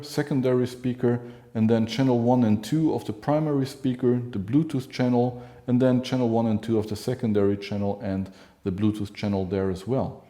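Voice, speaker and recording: A man's voice: mid-range voice, dark tone. A man presenting a product in a casual, explanatory demonstration style, going through things step by step. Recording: roomy, echoing room sound.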